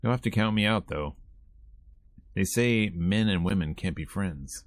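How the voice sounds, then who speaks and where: A man streaming a visual novel, reading the narration aloud in a conversational, expressive way. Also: deep voice, measured pace, no room sound, clean studio sound. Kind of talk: game let's-play, reading narration aloud.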